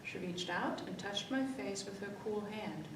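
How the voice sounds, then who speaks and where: Female children's storyteller, expressive read-aloud, heard at a distance in a very reverberant room. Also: unhurried. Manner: expressive, acts out the dialogue. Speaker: library story-time reader. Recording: echoey room, distant mic, faint rumbly noise.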